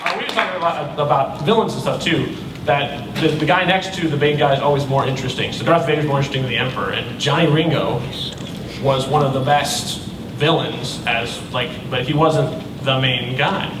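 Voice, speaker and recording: A man's voice: medium pitch, fairly quick, a crowd in the background. A man moderating a panel, chatting casually and enthusiastically like a fan. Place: convention panel room, indoors. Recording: distant camera mic, roomy hall, loud noise.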